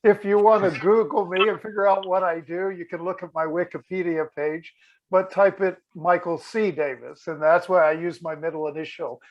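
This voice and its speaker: Guy with a higher voice joking about himself, muffled zoom sound. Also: steady tone, normal speed. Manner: jokey, self-deprecating. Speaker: panelist. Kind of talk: casual self-introduction. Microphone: video call.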